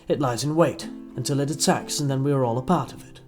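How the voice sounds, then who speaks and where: A man's voice: medium pitch, quick pace, soft background music, lightly acted. A man playing an arrogant, sardonic, brainy dealer in a comic audio drama, speaking in a theatrical, pompous way. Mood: melodramatic.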